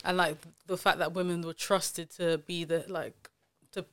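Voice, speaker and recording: Woman host chatting away on a podcast, lowish smooth voice, dry close mic, lots of likes and um. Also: fairly even intonation, a little slow, a bit bright, dry recording. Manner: chatty, many fillers and self-corrections. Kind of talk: casual chat.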